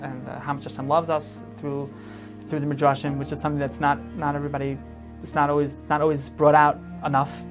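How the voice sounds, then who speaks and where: A young man from Chicago, a teacher trainee giving a halting testimonial full of false starts and repeated words. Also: on the high side, flat delivery. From Chicago, USA. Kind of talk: testimonial interview. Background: music.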